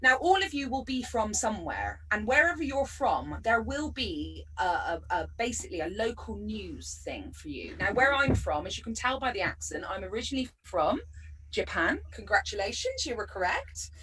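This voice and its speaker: A woman's voice: medium pitch, very animated, normal speed, a bit bright, distant mic, a little hiss or hum, low-quality recording. A woman from Bristol with a West Country accent, doing a chatty, sarcastic stand-up set over a video call. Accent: West Country English. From Bristol, England. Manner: chatty, sarcastic, bursts of laughter. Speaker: stand-up comedian.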